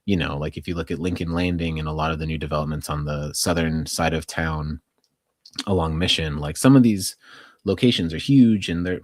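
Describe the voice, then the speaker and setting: A man's voice: very deep voice, no echo, clean studio sound. An American man co-hosting a podcast, talking through local news in a casual, conversational, hedging way with plenty of "like". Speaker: podcast co-host.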